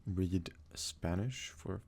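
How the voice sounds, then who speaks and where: A young man from Sweden, a native Swedish speaker, talks casually in a soft-spoken, hesitant ASMR style as he answers questions. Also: low-pitched, drawn-out words, gravelly, slightly rough. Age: young adult. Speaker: ASMR creator.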